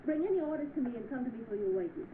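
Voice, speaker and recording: An actress playing a brisk, dry, skeptical office secretary in 1930s film style. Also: female voice, high-pitched, lively intonation. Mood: brisk, businesslike. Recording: noisy narrow-band old film soundtrack.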